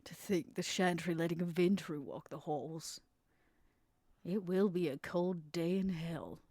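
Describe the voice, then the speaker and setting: A woman playing a shrewd female vampire smuggler in a tabletop game, bargaining in a deliberate, persuasive way. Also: fairly low pitch, mellow, breathy edge, no echo, clean studio sound. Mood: teasing, intrigued. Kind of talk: in-character tabletop roleplay.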